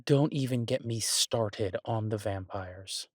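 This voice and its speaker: A man's voice: on the low side, slow pace, darkish tone, no echo, studio quality. Exasperated but affectionate boyfriend chef, acted by a man. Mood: incredulous ranting. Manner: ranting, incredulous, emphatic with repeated words. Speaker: audio roleplay performer. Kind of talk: boyfriend roleplay audio, conversational monologue to a listener.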